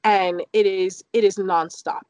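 A woman in her thirties from London, telling personal stories casually and jokingly as an interview guest, with animated emphasis. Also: mid-range voice, a little slow, smooth, no room sound.

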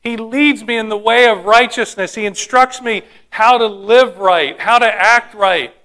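A high-pitched man preaches with a bright, forceful tone. He tells stories and asks the congregation questions as he teaches. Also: a little slow, rough recording. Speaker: pastor. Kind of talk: sermon.

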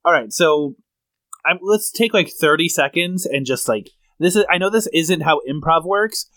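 A man's voice: on the high side, animated, moderate pace, sharp tone, no room sound. A man co-hosting a comedy podcast in casual chat, explaining things at length and telling stories. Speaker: podcast co-host.

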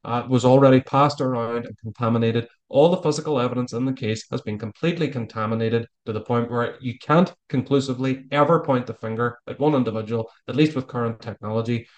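A man's voice: mid-range voice, quick pace, hardly any pauses. A man with an Irish accent speaking as a podcast guest, giving his opinions in a casual, emphatic, colloquial way. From Ireland. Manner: emphatic, colloquial, mildly sweary. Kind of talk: casual, opinionated interview talk.

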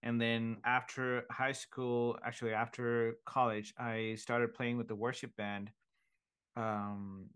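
A middle-aged man from Sri Lanka telling his faith story in casual chat. He speaks haltingly, pausing often with ums and uhs. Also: mid-range voice, little pitch movement, a bit bright, clean tone.

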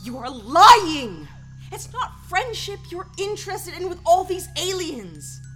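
Fiery space partner in audio drama, high and slow with emphasis, scornful, music behind her. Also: very animated, a bit bright, heavily acted. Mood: angry, contemptuous. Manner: cutting, emphatic.

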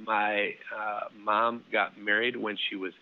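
A middle-aged man telling a family story as an interview guest, relaxed and full of fillers and restarts. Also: lowish voice, slow, narrow, tinny audio.

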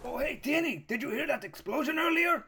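A man voicing a loud, childish, overprotective brother in an audio drama. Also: very high-pitched, a little fast, full character voice. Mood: boisterous. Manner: excitable, shouty, childish. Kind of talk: fan audio drama acting.

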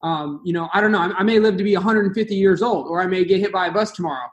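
A man's voice: high-pitched, rapid-fire, highs cut off. A man in his twenties, a podcast guest who speaks earnestly and often repeats a word as he starts a sentence. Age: 20s. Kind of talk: interview answers.

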